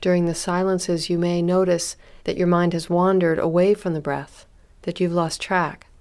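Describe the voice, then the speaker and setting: Woman doing a guided body scan, kinda low voice, gentle n calm, takes her time w long pauses. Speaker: meditation instructor.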